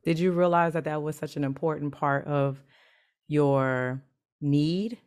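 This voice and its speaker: A woman's voice: low voice, steady tone, a little slow, darkish tone, clean tone. A woman hosting a podcast, interviewing her mentee and affirming her answers with frequent encouragement. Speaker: podcast host, mentor.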